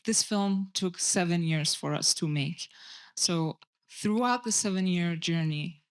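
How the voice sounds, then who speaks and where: Woman from Iran, panel speaker; smooth, even, measured delivery with frequent hesitations. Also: low-pitched. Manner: soft-spoken, hesitant, many ums. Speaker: documentary director on a festival panel. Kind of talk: panel talk. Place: festival panel lounge.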